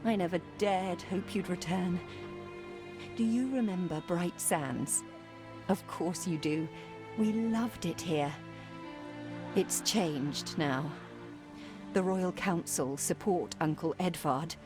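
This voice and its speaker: An actress voicing an earnest, grieving younger sister of noble family in a video game, with formal, old-fashioned phrasing. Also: female voice, on the low side, slow, long pauses. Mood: wistful, sorrowful. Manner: formal, archaic phrasing, solemn. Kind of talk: dramatic video game acting. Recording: loud music underneath. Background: game music.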